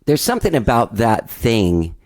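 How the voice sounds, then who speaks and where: A man hosting a laid-back interview podcast. His voice sits at an average pitch, and he chimes in with short encouraging replies and loosely phrased questions. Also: wildly swooping pitch, normal speed, darkish tone. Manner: easygoing, short encouraging responses. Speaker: podcast host. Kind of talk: casual interview conversation.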